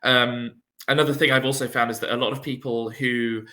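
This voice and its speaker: A man's voice: mid-range voice, fairly even intonation, brisk, bright, forceful tone. A British man in a casual conversation, explaining things in a rambling, chatty way. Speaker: guest in a friendly conversation.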